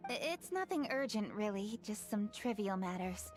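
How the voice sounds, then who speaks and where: A woman's voice: mid-range voice, sing-song, normal speed, darkish tone, faint music behind, natural voice. A woman playing a dutiful young official in a video game, speaking in a poised, formal, businesslike manner. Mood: polite. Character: dutiful young female official, brisk, composed, hardworking. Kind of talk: English video game dub acting.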